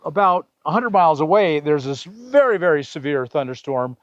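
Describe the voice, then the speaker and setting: A man's voice: fairly high pitch, expressive, moderate pace, no echo. A man giving a casual product review, explaining things plainly and repeating points for emphasis. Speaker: product reviewer for van life gear. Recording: slightly dull, dry lo-fi sound.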